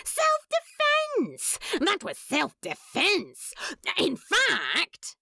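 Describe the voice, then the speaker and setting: Casting: woman narrator as a slippery, defensive demon, flippant and emphatic, wildly animated, comic audiobook. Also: very high voice, very slow, gravelly, no room sound, studio quality, voice pushed up, exaggerated voice. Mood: indignant protest. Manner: glib, emphatic, protesting. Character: defensive, sly, flippant demon arguing their case.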